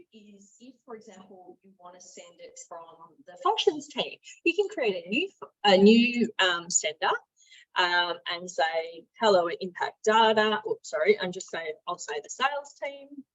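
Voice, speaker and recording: Husky woman giving a software tutorial. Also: mid-range voice, expressive, normal speed. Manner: conversational, thinking aloud, instructive. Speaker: software trainer, marketing and sales director. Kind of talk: informal software tutorial with screen demonstration. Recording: dull, muffled lo-fi audio.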